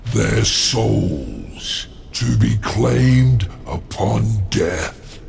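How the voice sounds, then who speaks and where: A man's voice: fairly low pitch, lively intonation, drawn-out words, gravelly, darkish tone, slight background noise, exaggerated voice. A man playing a suave, scheming demon lord in a video game cutscene, eloquent, haughty and sly. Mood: ominous exposition.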